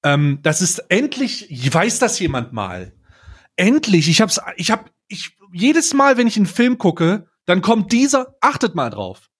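A man's voice: sits high, huge pitch swings, a little slow, husky. A man hosting a casual comedic podcast, talking in an informal, jokey, slangy way. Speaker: podcast host.

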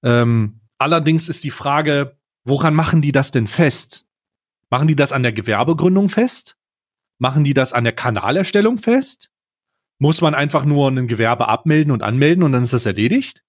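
A man's voice: mid-range voice, lively intonation, moderate pace. A man talking casually as a podcast host, emphatic and fond of posing rhetorical questions.

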